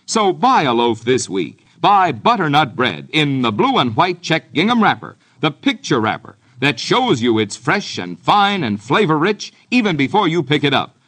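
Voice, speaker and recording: A man's voice: fairly high pitch, sing-song, normal speed, hardly any pauses, fairly bright tone. A man announcing a scripted commercial in the style of mid-century American radio. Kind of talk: 1940s-50s radio bread ad, scripted sales pitch.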